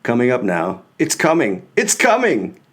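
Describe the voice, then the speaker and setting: A man's voice: medium pitch, huge pitch swings, unhurried, fairly bright tone, exaggerated voice. Gleeful rocket nerd acted by a man, squealing. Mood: mock ecstatic. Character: excitable male rocket enthusiast, mock-dramatic and gleeful.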